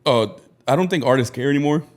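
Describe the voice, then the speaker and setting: A man co-hosting a casual podcast, teasing his friend with quick slangy comebacks. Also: mid-range voice. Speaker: podcast co-host. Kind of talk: casual chat.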